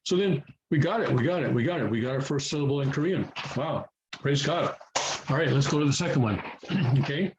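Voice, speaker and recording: A man's voice: medium pitch, lively intonation, a little fast, slight background noise, rough recording. Patient man teaching Korean letters. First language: English. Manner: patient, repeats and checks in with "okay?". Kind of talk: casual step-by-step tutorial. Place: home near a highway, passing traffic.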